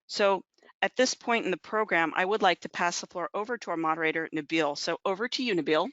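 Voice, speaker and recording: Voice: mid-range voice, fast talker, fairly bright tone, no room sound. A presenter reading a formal, scripted introduction before handing over to the moderator. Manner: formal, read-out delivery. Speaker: program presenter. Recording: dull, dry lo-fi audio.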